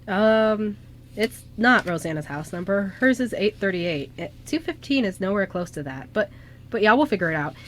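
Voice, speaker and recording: Woman as playful young male ghost, lively pitch. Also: slight background noise, lightly acted. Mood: confused, hesitant. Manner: hesitant, halting, casual. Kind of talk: amateur online radio drama reading.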